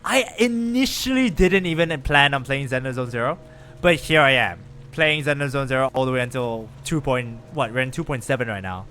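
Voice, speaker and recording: A man chatting casually with viewers and reacting out loud while he plays a game on a live stream. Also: medium pitch, fairly quick, a little hiss or hum. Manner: chatty, animated, lively reactions while playing.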